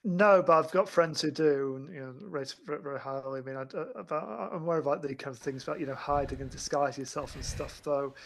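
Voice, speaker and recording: A man chatting casually as a podcast panelist, halting and stammering as he repeats words to find his phrasing. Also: fairly high pitch, fairly bright tone. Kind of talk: casual film discussion chat.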